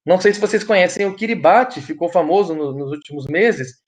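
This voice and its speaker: A man's voice: sits high, a little fast. A Brazilian man giving an online presentation over a video call, explaining in a hesitant way and often stopping to restart his sentences. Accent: Brazilian Portuguese. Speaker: guest speaker.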